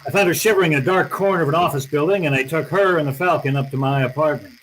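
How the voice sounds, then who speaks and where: Male actor as tough private eye, radio noir, slangy, terse, higher-than-average male pitch, faint noise behind. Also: rapid-fire, a bit bright, close to an everyday voice, poor audio. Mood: hard-boiled narration. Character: wry, tough, hard-boiled male private detective. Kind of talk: old time radio detective drama, noir narration.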